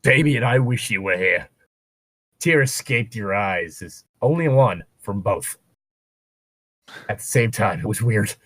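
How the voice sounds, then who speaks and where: A man's voice: lowish voice, animated, unhurried, long pauses, fairly bright tone, breathy edge, no echo. A man reading a story aloud as a co-host, slipping into casual, sarcastic, mock-exasperated asides. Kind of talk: read-aloud narration with casual joking commentary.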